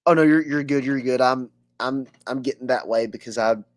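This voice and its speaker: A man's voice: mid-range voice, normal speed, dry recording. A man hosting a podcast interview with a scratchy voice, hesitant and full of fillers. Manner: hesitant, many fillers and restarts. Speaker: podcast host.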